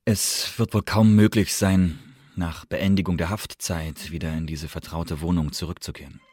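A man's voice: deep voice, normal speed, no echo, clean studio sound. An actor playing a wistful young male prisoner in a radio drama, reading his letter aloud in a savouring, literary way. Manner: savouring, literary, builds into excited lists. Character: Christopher, wistful, eloquent young male prisoner writing home.

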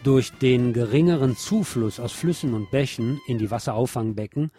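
Mann liest Nachrichten, Musik im Hintergrund. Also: male voice, mid-range voice, moderate pace, dark tone. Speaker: radio show host reading local news. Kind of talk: scripted news with personal commentary. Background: music with guitar.

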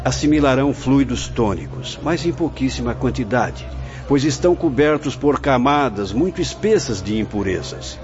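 Actor as a grave Brazilian spirit guide, dull recording. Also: male voice, medium pitch, expressive, normal speed, close to an everyday voice. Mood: solemn, compassionate. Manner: grave, didactic, formal. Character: solemn male spirit mentor guiding visitors through asylum. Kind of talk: radio drama acting. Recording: dull lo-fi audio under loud music.

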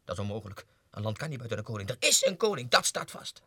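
A self-important Russian clerk sliding into madness in an old radio play. His voice is husky and very animated in pitch, lurching between muttered whispers and agitated outbursts. The studio sound is dry and close. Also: fast talker, dry recording, exaggerated voice. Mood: outraged. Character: deluded low-ranking Russian clerk, obsessive, self-important, going mad. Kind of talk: 1960s radio drama monologue, diary entries.

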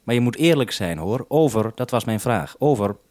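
A man's voice: medium pitch, animated, moderate pace, a little mellow, a little hiss or hum. A man talking unscripted as a radio host over a two-way link, businesslike and explanatory with some hesitation.

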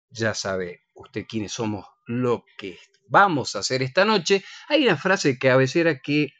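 Lively Argentine man hosting radio. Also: medium pitch, no room sound. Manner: playful, teasing. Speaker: radio show host. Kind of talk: conversational radio presenting with greetings and banter. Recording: dull, lo-fi radio recording.

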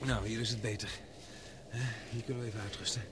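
A man's voice: lowish voice, little pitch movement, fast talker, natural voice. An actor playing a man rescuing his trapped partner in a radio drama, urgent and protective. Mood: tender. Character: Paul, adult man caught in a gas-well disaster. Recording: loud background noise.

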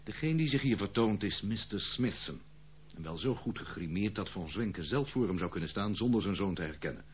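Male actor as disgraced prodigal son in radio drama: low voice, formal, pleading, indignant, tinny hummy recording. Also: expressive, brisk, lightly acted. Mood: proud, formal. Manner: theatrical, formal, insistent. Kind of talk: radio play acting. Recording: tinny, phone-like old radio play, slight hum.

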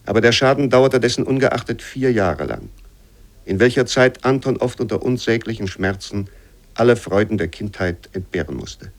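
Sober reader of a bleak memoir for radio; lowish pitch, measured literary recitation. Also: male voice, expressive. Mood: sober, grave. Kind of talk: scripted literary reading for radio.

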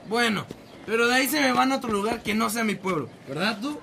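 Shrill, creaky, pompous town bully. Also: male voice, animated, a little fast, pushed and bright, a little hiss or hum, heavily acted. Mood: smug, bossy. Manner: pompous, blustering. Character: bossy, self-important small-town secretary, a comic bully. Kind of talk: Spanish-language radio drama acting.

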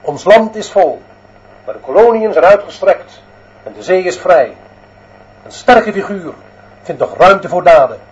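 An actor playing a dictatorial headmaster in a radio play, declaiming sternly with deliberate phrasing. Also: male voice, sits high, big pitch swings, lo-fi sound. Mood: stern, solemn. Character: dictatorial male headmaster preaching discipline and national greatness.

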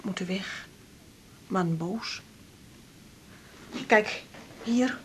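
An actress playing an anxious Turkish immigrant wife in a radio drama, with a Turkish accent, speaking hushed, broken Dutch in short fragments. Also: female voice, medium pitch, extremely slow, a little hiss or hum. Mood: fearful, distressed.

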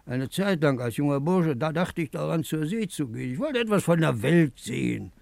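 An actor playing a frail, pleading old man in a 1950s radio play, halting, wistful and coaxing. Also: on the high side, lively intonation, vocal fry, a little mellow, dry recording. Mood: wistful, stubborn. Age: older.

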